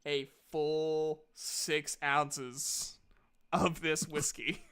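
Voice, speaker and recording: A man working as game master, narrating casually and haltingly with repeated words. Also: on the high side, little pitch movement, fairly bright tone, clear, resonant tone.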